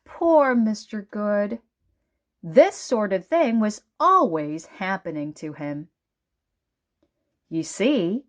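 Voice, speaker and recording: A woman reading a children's storybook aloud as narrator, with deliberate, dramatic emphasis. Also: medium pitch, muffled recording. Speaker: children's book reader.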